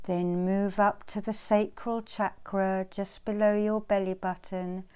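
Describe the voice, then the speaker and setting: Slow, soothing woman guiding meditation. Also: mid-range voice, level tone, clean tone. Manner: soothing, gentle instruction with repeated phrases and pauses. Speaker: meditation teacher leading a guided visualisation. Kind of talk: guided meditation.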